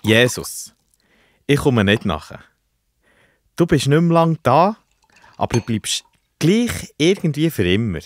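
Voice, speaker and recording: A man playing an earnest, grateful disciple in a children's Bible audio play, with animated delivery. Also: medium pitch, unhurried, pauses often, slight background noise. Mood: worried, puzzled. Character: John, an earnest, grateful young male disciple.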